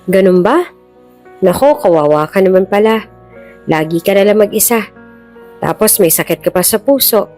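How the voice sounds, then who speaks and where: A woman's voice: medium pitch, animated, moderate pace, pauses often, darkish tone, lightly acted. A woman with a Filipino accent voicing an inquisitive, pitying hospital nurse in a story, chatty and questioning. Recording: music bed behind the voice.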